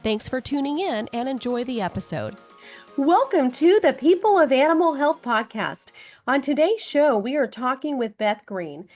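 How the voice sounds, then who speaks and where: A woman's voice: high-pitched, big pitch swings, moderate pace, darkish tone, faint music behind. A woman hosting a podcast, reading a polished introduction and asking her guest questions. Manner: polished, upbeat delivery. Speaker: podcast host. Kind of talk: scripted intro read, then interview questions.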